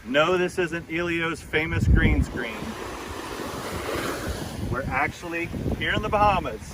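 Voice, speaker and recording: A man's voice: a little high, unhurried. A man presenting an upbeat on-location video segment outdoors by the sea, in a promo-style announcer delivery. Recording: windy outdoor audio, from a distance. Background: wind and water noise.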